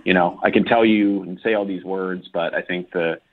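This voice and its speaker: A man calling in to a radio show, speaking haltingly and apologetically, stumbling over his words. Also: deep voice, fairly even intonation. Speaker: phone-in caller. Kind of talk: unscripted personal phone conversation. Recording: muffled, dull phone call.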